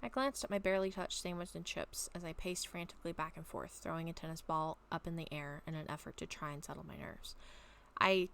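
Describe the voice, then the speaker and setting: Casual home vlogger, a woman with a lowish, slightly raspy voice, chatty and meandering, with a faint background hiss. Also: fairly quick. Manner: rambling, many ums, soft trailing asides. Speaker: vlogger reading her own story. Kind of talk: casual chat and story reading. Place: at home, bedroom.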